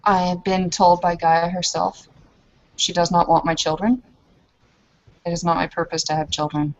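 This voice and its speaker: A woman's voice: lowish voice, little pitch movement, moderate pace, highs cut off, close to an everyday voice. A woman playing an earnest, resolute werewolf who speaks in formal declarations during a tabletop game. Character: earnest, resolute female werewolf devoted to Gaia. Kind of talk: in-character tabletop roleplay.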